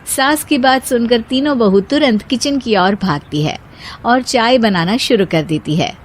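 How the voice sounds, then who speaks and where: High-pitched woman narrating a story. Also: unhurried.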